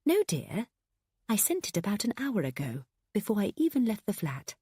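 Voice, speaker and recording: A woman voicing a sugary grandmother who coos with quiet menace. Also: mid-range voice, big pitch swings, moderate pace, slightly rough, no room sound, clean studio sound, voice pushed up. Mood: cooing. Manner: cooing, falsely sweet.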